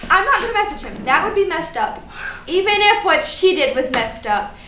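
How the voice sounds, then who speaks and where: A woman's voice: extremely high pitch, sing-song, moderate pace, fairly bright tone, heavily acted. A woman acting an indignant, hurt young woman obsessed with social media, in an emphatic staged reading. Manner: emphatic, repetitive, swearing. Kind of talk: theatrical staged reading of a comic play. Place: live staged reading in an echoey room. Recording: echoey, noisy stage recording from far off.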